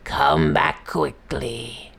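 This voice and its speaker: Woman putting on a slow, low, snarling hungry tiger. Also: sing-song, gravelly, fairly bright tone, husky, exaggerated voice. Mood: menacing, sly. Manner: snarling, drawn-out. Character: hungry, menacing male tiger, sly and huge.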